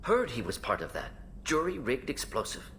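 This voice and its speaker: Brisk alien scientist, swooping pitch. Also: male voice, huge pitch swings, a bit bright, a little hiss or hum. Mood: wry, brisk. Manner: clipped fragments, drops articles, rapid-fire musing. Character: fast-talking alien salarian scientist, brisk, analytical, eccentric. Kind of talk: video game character acting.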